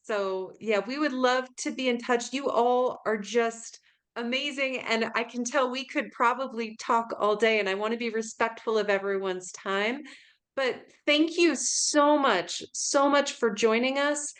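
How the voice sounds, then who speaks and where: A woman with a slightly high voice hosts an online webinar and closes it warmly with thanks and contact details. Her delivery is upbeat and gracious, spoken into a video call. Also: steady tone, unhurried. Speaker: webinar host. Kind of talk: closing remarks.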